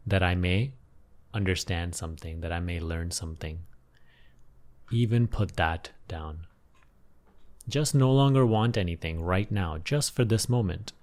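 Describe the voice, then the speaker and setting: A man leading an unscripted guided meditation as a teacher, giving gentle, unhurried instructions with pauses and repeated phrases. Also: low-pitched.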